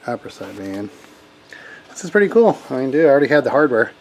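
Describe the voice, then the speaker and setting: A man's voice: medium pitch, big pitch swings, quick pace, vocal fry, a little mellow, low-quality recording. A man giving a casual hands-on tech demo as a hobbyist, talking informally and rambling as he thinks aloud. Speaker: hobbyist radio operator demonstrating equipment.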